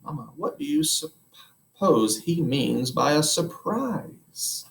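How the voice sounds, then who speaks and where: Eager, dreamy storybook husband, read by a man, animated, echoey room. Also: medium pitch, moderate pace, pitched above the performer's own voice, natural voice. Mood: amazed. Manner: eager and wondering. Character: dreamy enthusiastic middle-aged husband. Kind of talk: reading aloud a children's book.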